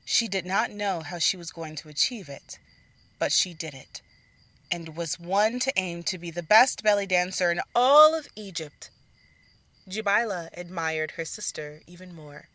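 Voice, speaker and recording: A woman's voice: on the low side, measured pace, sharp tone, no echo. A woman reading scripted narration for a fantasy story as its narrator. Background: faint music.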